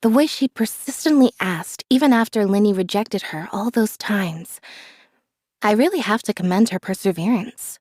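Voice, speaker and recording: A woman voicing a reserved, observant young magician's assistant in a video game, speaking in a calm, measured deadpan. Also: medium pitch, no echo, studio quality, natural voice. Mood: calm, detached. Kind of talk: scripted character voice lines.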